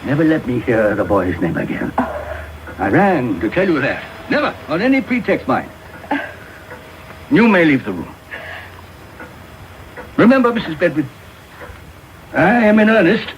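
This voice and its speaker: A grave, commanding household master in a radio play. He speaks emphatically with wide swings of pitch, through a very noisy broadcast. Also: sing-song, unhurried, long pauses. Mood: stern. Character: stern, wounded, commanding gentleman master of a household. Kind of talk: radio drama acting. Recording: very noisy medium-wave radio broadcast off tape.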